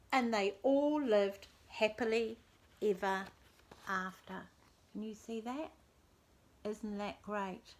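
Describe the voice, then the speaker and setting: A woman storyteller reading a children's tale expressively and deliberately, as if to young listeners. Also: on the high side. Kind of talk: scripted children's story narration.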